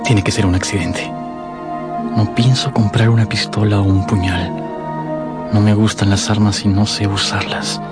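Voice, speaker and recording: A man's voice: lowish voice, fairly even intonation, normal speed, natural voice. A man playing a scheming adulterous lover in a Spanish-language radio drama. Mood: furtive, scheming. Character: scheming adulterous lover plotting murder, feigning grief. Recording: music and crowd ambience behind.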